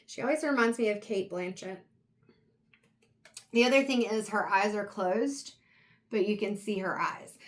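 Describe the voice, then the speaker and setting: Woman, tarot reader, voice going hoarse, mid-pitched, reflective and meandering, talks to the viewer. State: losing her voice, clearing her throat. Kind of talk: casual intuitive tarot reading.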